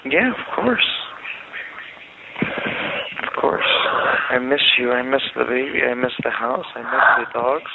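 A man's voice: mid-range voice, animated, slow, vocal fry, long pauses. A man on a phone call chatting casually, answering tersely with brief acknowledgments. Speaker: jail caller. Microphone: telephone call. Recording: noisy, tinny jail phone line.

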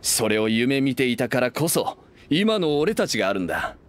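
Man playing an easygoing young merchant, lively intonation. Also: mid-range voice, normal speed, slight background noise, lightly acted. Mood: friendly, proud. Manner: casual, easygoing masculine speech. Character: friendly self-made young male merchant, nostalgic and proud. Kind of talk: Japanese anime game voice acting.

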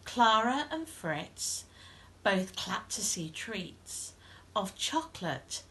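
A woman's voice: medium pitch, sing-song, takes it slowly, fairly bright tone. A woman in her thirties reading a rhyming storybook to children in a living room.

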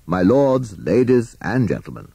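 A man's voice: mid-range voice, expressive, moderate pace, gravelly, dark tone, breathy edge, no room sound, pitched above the performer's own voice. Man doing a pompous, self-important owl lawyer. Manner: pompous legal oratory.

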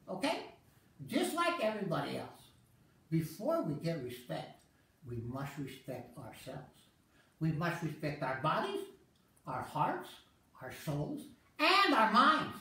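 A woman's voice: slight background noise, exaggerated voice. Woman doing an earnest, preachy nerd. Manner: slow, earnest, lecturing, lively pitch swings. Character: earnest, anxious nerdy adult, preachy. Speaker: festival performer. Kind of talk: comic stage monologue with rhyming verse. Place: outdoor festival stage. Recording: echoey stage sound from a distant mic.

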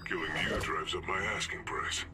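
A man plays a jaded hired killer in a fighting game. The voice is low and dry, tossing out tough, casual lines over clear background music. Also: steady tone, normal speed, close to an everyday voice. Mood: cocky. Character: jaded, cocky masked mercenary assassin. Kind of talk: video game voice acting.

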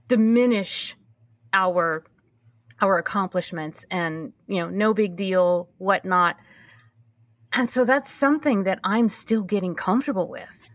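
A woman's voice: medium pitch, dry recording. A woman in her early fifties speaking candidly and reflectively in a conversational interview, repeating phrases for emphasis. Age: early 50s. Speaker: podcast host being interviewed.

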